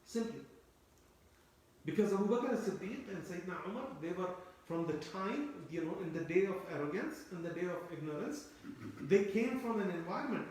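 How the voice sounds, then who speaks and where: Echoey, distant lecturing man with a high voice. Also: a little mellow. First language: Turkish. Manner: explanatory, repeats phrases, asks listeners rhetorical questions. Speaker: Quran teacher. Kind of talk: tafsir lecture. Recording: very echoey room, voice from far off.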